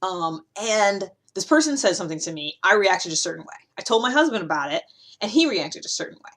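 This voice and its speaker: Female host, mid-range voice, casual explainer delivery, animated and emphatic, speaks straight to the viewer. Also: medium pitch, a little fast. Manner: animated, conversational, emphatic with asides. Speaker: host of a personality-typing video series. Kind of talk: casual explanatory monologue to camera.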